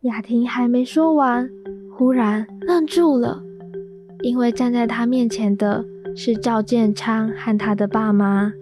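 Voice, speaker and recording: A woman's voice: on the high side, fairly even intonation, a little slow, music in the background. A young woman reading scripted narration for a children's radio story. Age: young adult.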